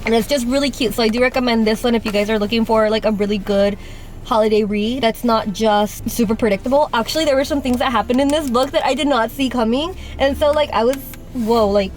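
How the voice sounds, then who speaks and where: A woman's voice: fairly high pitch, slight background noise. A young woman whose first language is Spanish, with a Spanish accent, chatting casually to her camera in a rambling way full of "like" fillers. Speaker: vlogger. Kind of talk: casual chat. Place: car and gym. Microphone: handheld camera.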